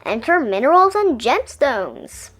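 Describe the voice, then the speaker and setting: A woman reading a children's science picture book aloud in an expressive, explanatory storytelling way. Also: high-pitched, unhurried, slightly rough.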